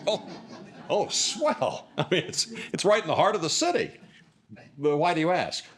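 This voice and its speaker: A man's voice: high-pitched, sing-song, normal speed. An actor playing a folksy, slangy male police inspector who talks in a colloquial, blustery way in a live mystery drama. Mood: puzzled. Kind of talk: live radio-style mystery drama performance. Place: public library live stage before an audience.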